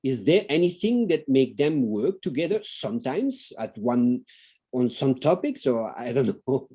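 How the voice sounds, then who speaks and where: A man's voice: medium pitch, sing-song, a little slow, mellow, slightly rough. A man from Belgium with a French accent, a researcher earnestly asking a question in an online roundtable and searching for his words. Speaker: researcher, panel participant. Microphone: video call.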